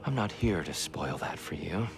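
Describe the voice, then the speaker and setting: A man playing a calm, wise god and mentor in a video game, speaking in a measured, knowing way. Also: low voice, vocal fry, over music. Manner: measured, knowing, gentle but firm.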